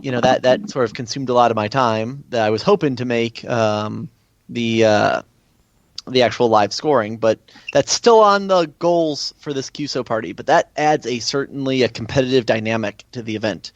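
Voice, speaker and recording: A man's voice: mid-range voice, moderate pace, no room sound. American man, casual guest on muffled audio. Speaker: guest, event organizer. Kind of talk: casual interview talk.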